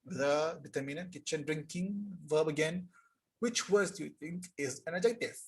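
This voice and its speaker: Husky young male English teacher. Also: on the high side. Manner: casual, explanatory, reads sentences aloud slowly. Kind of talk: live online tutorial reading chat answers.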